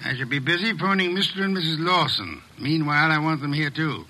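An actor playing a pompous, exacting master detective in an old radio drama, with formal, precise diction. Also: male voice, a little high, expressive, brisk, bright, forceful tone, low-quality recording. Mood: commanding, dry.

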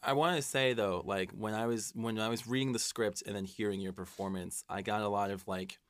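A man's voice: on the low side, fairly even intonation, brisk, no echo. A man co-hosting a podcast, talking in a casual, conversational way. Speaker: podcast co-host, writer. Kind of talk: casual chat.

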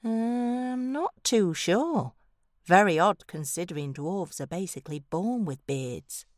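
A woman's voice: fairly low pitch, animated, a little slow, a little mellow, no echo, studio quality. A woman playing a sardonic, impatient, no-nonsense adventurer in a fantasy audio drama, with blunt sarcasm. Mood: dry, wary.

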